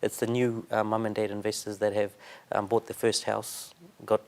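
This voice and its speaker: Gravelly low-voiced real estate agent. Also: male voice, level tone, normal speed, a little mellow. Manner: easygoing, agreeable, says "yeah" a lot. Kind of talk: casual interview answers.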